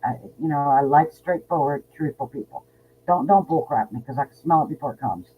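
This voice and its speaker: A woman's voice: low voice, lively intonation, brisk. A woman in her fifties, a psychic medium guest, talking bluntly and outspokenly in a casual interview, often repeating words. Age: 50s. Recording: tinny phone line with faint noise.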